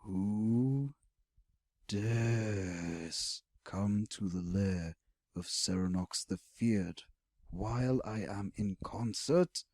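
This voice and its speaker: A man voicing a huge, proud and brutish red dragon in a fantasy audiobook, imperious and deliberate. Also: on the low side, darkish tone, no echo, studio quality. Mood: imperious menace.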